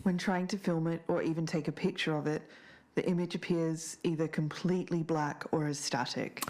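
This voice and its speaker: Woman acting, deep-pitched, serious podcast host, scripted narration, measured, formal, eerie mystery. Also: steady tone, a little mellow, lightly acted. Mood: serious, ominous.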